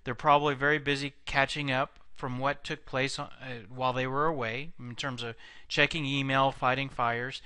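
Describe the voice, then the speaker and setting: Male sales trainer, conversational lecture delivery, crisp, dry close recording. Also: mid-range voice, bright, forceful tone, no room sound. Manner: conversational, frequent fillers and restarts. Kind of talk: instructional video lecture.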